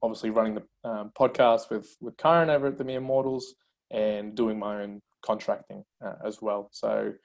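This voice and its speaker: A man's voice: lowish voice, moderate pace, gravelly. A man in his thirties, born in Colombia and a Spanish speaker, telling his life story as a guest in a casual interview. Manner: energetic storyteller, many fillers.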